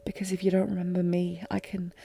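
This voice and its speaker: A woman playing a lovesick warrior queen, regal yet fragile, in an intimate fantasy audio drama with formal, emotive, halting delivery. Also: lowish voice, completely flat delivery, creaky, dark tone, slightly rough. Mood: pleading, hushed. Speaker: audio roleplay performer.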